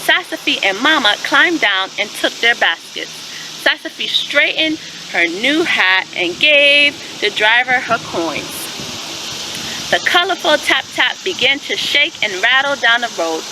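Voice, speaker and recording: A woman's voice: a little high, sing-song, background noise. A woman reading a children's story aloud expressively, in a teacherly way that invites listeners to respond. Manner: teacherly, asks listeners questions and pauses to affirm answers. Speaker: storyteller and host of a children's read-aloud channel.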